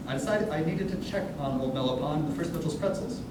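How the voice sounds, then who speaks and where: A man's voice: fast talker, close to an everyday voice. A young man playing a glib, teasing businessman in a staged reading of a musical, in a distant, echoey room. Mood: breezy, teasing. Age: young adult. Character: breezy, wisecracking businessman, the manager's old male friend. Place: large echoey room, recorded from far off. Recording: distant echoey room, loud rumbling noise.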